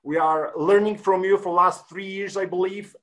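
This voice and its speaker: A middle-aged man from Poland with a Polish accent, speaking English as a caller on a video call, halting with many pauses and fillers. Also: sits high, steady tone, fairly bright tone, rough recording. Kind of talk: casual chat. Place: home room.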